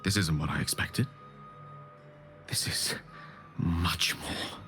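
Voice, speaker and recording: A man acting as an eloquent, ambitious wizard in a video game, speaking in theatrical, erudite phrases with dramatic pauses. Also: deep voice, pushed and bright, faint music behind, exaggerated voice. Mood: awed. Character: ambitious, eloquent male wizard hungry for godlike power. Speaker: game character, wizard companion.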